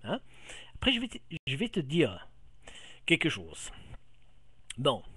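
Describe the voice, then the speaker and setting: A middle-aged man from Algeria's Kabyle region with a Kabyle accent, explaining things to a viewer into a camera mic, halting and repeating words as he searches for them. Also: medium pitch, huge pitch swings, pushed and bright, slightly rough, dry recording. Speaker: vlogger. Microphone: camera microphone.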